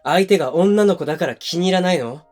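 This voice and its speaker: A man's voice: sits high, a little fast, darkish tone, soft background music, close to an everyday voice. A male actor playing a defensive, hot-tempered young boyfriend in a voice drama, speaking bluntly and casually to the listener up close. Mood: angry, indignant. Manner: blunt, casual masculine speech. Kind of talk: situation voice drama addressed to the listener. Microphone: close binaural microphone.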